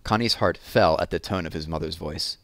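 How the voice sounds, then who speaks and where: A man's voice: low-pitched, a little fast, fairly bright tone, no room sound. A man narrating a children's story as a read-aloud. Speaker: storybook reader.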